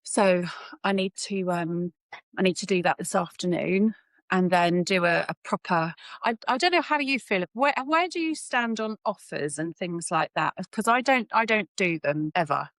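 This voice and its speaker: Female healthcare professional, lower register, informal conversational delivery, very little room sound. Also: normal speed, fairly bright tone, dry recording. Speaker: healthcare professional being mentored. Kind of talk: casual professional chat.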